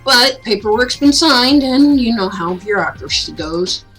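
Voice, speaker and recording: A woman's voice: a little high, animated, a little slow, fairly bright tone, slightly rough, soft background music, full character voice, low-quality recording. A woman voicing a wisecracking, slacker male mentor from a story game in a teasing, mock-grand way. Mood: wry, breezy. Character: breezy, wisecracking male school counselor, playful slacker mentor.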